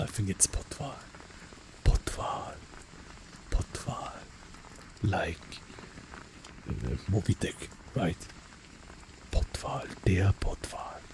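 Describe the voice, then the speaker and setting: A man teaching vocabulary in a relaxed lesson, often whispering and slowly repeating each word. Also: deep voice, background noise. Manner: soft-spoken, often whispers words, repeats them slowly. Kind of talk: relaxed spoken language lesson with whispered repetitions. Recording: faint rain in the background.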